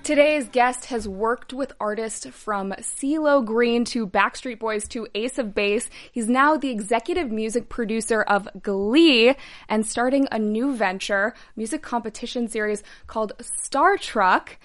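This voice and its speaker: Interview host lady, kinda high voice, lots of yeahs and mm-hmms. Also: a little slow. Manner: friendly, affirming with short replies. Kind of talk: interview hosting.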